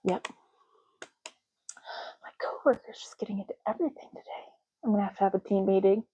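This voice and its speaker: A woman speaking softly and breathily in an unhurried ASMR roleplay, as a clerk helping a customer, gently lingering on and repeating words. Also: mid-range voice, steady tone, highs cut off. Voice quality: soft, breathy, at times dropping to a whisper. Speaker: ASMR creator playing an ID card clerk in a roleplay. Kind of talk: ASMR roleplay, soft-spoken and casual.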